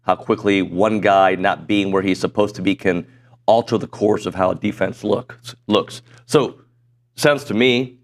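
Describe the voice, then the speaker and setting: A middle-aged man hosting a sports talk show in a studio, chatty and self-deprecating, his voice a little hoarse. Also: lowish voice, steady tone, a little slow. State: voice going hoarse. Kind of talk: casual sports talk chat. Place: broadcast studio.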